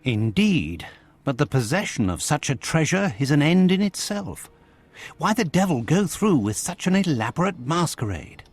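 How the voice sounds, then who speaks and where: An actor playing an earnest, long-suffering gentleman companion in a video game, speaking politely. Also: on the high side, normal speed, faint music behind. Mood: puzzled, uneasy. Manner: polite, expressive, formal. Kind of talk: video game cutscene acting.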